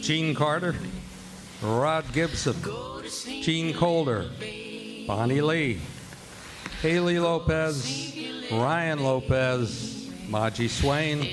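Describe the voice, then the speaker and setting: Male radio drama announcer, slow, measured credit reading over clear music. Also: a little high. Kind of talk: formal radio theatre announcing. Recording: live stage with music behind.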